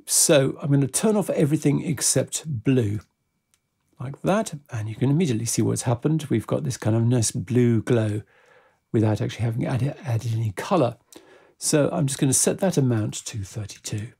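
Man, gravelly and relaxed, software instructor, casual step-by-step narration, measured delivery. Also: medium pitch.

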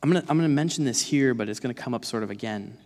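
A man's voice: mid-range voice, racing, darkish tone. A man in his thirties preaching a conversational sermon, emphatic and given to rhetorical questions. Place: large dark church stage, indoors. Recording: reverberant hall sound.

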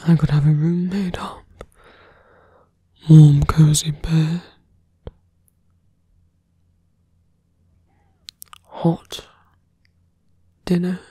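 Male actor as a seductive fantasy villain, husky breathy whisper, very slow, smug and teasing, intimate ASMR roleplay, close mic. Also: on the high side, little pitch movement, mellow, no echo, full character voice. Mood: seductive coaxing. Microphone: close dry microphone.

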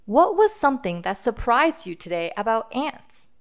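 A woman hosting a children's phonics read-aloud, speaking deliberately and sounding out letters and words for young listeners. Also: a little high, very animated.

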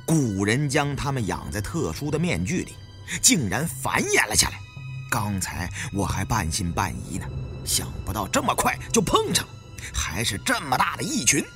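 A man's voice: medium pitch, wildly swooping pitch, normal speed. A man narrating a first-person adventure audiobook in a dramatic, suspenseful, theatrical manner. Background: faint background music.

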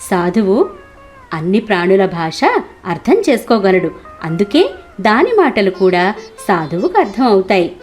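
A woman's voice: mid-range voice, sing-song, a little slow, long pauses, a little mellow, soft background music. A woman storyteller reading scripted narration for a cartoon moral tale.

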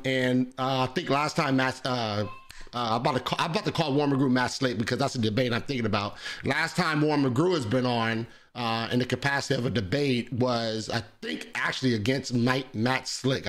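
Male live-show host, voice a bit raspy and hoarse today, mid-pitched, relaxed and colloquial with an upbeat, chatty manner. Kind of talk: casual livestream introduction.

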